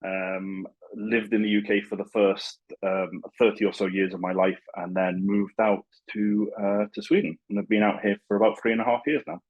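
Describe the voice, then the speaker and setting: Thirties Liverpool-accented male guest, husky and low, on dull video-call audio. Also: normal speed. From Liverpool, England. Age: 30s. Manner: relaxed, storytelling, with stammered restarts. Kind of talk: casual video-call interview. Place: home.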